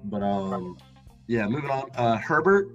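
A man's voice: mid-range voice, expressive, a little slow. A man hosting a podcast, chatting casually and debating sports with friends. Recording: slightly dull audio, faint music underneath.

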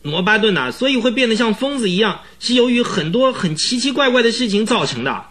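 A man's voice: high voice, very animated, a little fast, fairly bright tone. A man playing an agitated, pleading horse trainer in his mid-twenties in a radio drama, stammering and exclaiming. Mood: agitated, urgent. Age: young adult.

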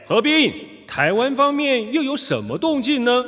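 A man's voice: very high-pitched, sing-song, moderate pace, fairly bright tone, heavily acted. A man in a period radio drama playing an imperious, ruthless warlord, commanding and impatient, in a reverberant hall. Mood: impatient, curious. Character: imperious, ruthless male warlord of old China.

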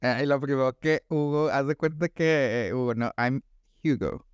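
Casting: young Monterrey man, podcast co-host, smooth clear voice, rambling and slangy, dry close mic. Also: medium pitch, normal speed, no echo, dull audio. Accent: northern Mexican (Monterrey). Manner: slangy, rambling, says "güey" constantly. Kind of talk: casual chat.

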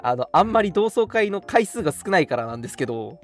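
A man's voice: sits high, sing-song, fast talker. A young man hosting a radio show, chatting casually with a friend in an agreeable way. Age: young adult. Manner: agreeable, often repeats affirmations. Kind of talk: casual chat between friends. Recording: music playing behind the voice. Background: background music.

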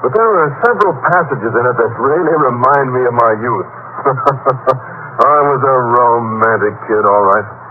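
A muffled old radio recording with faint noise carries an actor as a bashful, earnest male teacher. His voice moves in lively intonation, polite and slightly awkward. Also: medium pitch, normal speed, close to an everyday voice. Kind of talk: old-time radio comedy acting.

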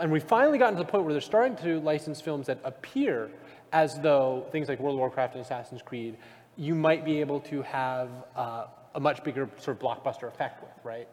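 A man with a somewhat high voice chats and banters as a live panelist. His words carry the long reverb of a big convention room. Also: a little mellow. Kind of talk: casual jokey panel banter.

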